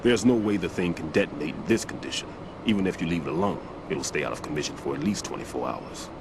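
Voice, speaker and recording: An American man playing a weary, regretful older bomb disposal expert in a video game, speaking in a measured, instructive way. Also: deep voice, noisy background. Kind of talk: video game cutscene voice acting. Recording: game audio with music underneath.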